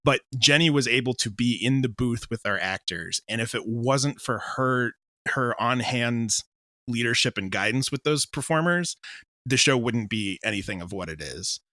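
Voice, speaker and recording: A man's voice: medium pitch, animated, normal speed, pushed and bright, no room sound. A man speaking casually as a creator being interviewed about his work. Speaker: audio drama creator, interview guest.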